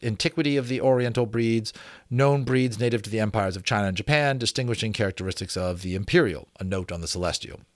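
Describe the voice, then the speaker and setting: A man's voice: medium pitch, no echo, clean studio sound. A man hosting a book read-aloud, shifting between theatrical, formal reading and informal asides. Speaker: host reading books aloud.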